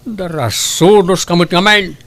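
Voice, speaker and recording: Man acting a grumbling, high-pitched Ilocano father. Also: a little slow, a bit bright. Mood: scolding. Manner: complaining, scolding. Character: grumbling, nagging older father ashamed of his daughter. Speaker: drama actor. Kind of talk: Ilocano comedy drama acting.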